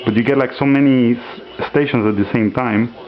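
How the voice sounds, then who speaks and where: A man casually demonstrating a homemade radio at home, relaxed and hesitant, with many fillers. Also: medium pitch, normal speed, highs cut off, soft background music. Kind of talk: casual show-and-tell demo.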